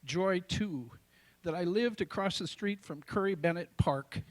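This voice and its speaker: An American man in his sixties with a tenor voice, reciting his own poetry in deliberate, emphatic phrases with dramatic pauses. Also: high-pitched. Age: 60s. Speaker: amateur poet.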